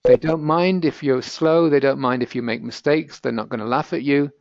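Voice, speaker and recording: A man's voice: mid-range voice, moderate pace, creaky, darkish tone, no echo, highs cut off. A British man with a British accent, presenting an encouraging, patiently explained language lesson in a webinar. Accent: British English. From Britain.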